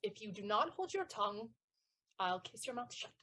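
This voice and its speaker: Woman as a husky lovesick page boy. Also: medium pitch, very animated, normal speed, sharp tone. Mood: excited, defiant. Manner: ardent, florid, insistent. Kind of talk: theatrical period-comedy stage acting.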